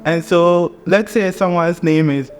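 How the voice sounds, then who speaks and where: A man from Ghana, a graduate student, talking in a relaxed interview chat. He laughs, says "like" a lot and acts out little quotes. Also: high-pitched, unhurried, faint music behind.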